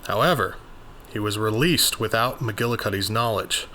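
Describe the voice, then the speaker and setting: An earnest, bookish male investigator acted by a man recounts his journal in an audio drama. He is precise and somewhat exasperated, with a low, bright voice over faint noise. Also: moderate pace. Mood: brooding. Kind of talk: first-person journal narration, dramatized.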